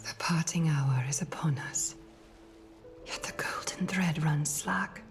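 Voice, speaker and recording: A woman playing a solemn, regal leader in a video game, speaking in a stately, formal and deliberate way. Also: sits low, whispered, faint music behind. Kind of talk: English video game voice acting, formal and measured.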